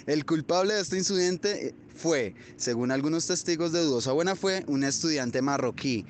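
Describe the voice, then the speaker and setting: A man acts a pedantic storyteller in a radio play, a little high in voice, with faint rumbling noise behind him. He is wordy and self-important as he recounts things. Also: lively intonation, normal speed, close to an everyday voice. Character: long-winded, pedantic man recounting his schooldays. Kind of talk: literary radio drama monologue. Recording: clear rumbling background noise.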